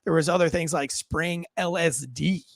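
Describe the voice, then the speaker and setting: A man hosting an online news show, giving informal, unscripted commentary to viewers in a halting way, often repeating words. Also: a little high, fairly even intonation, dry recording, clean studio sound.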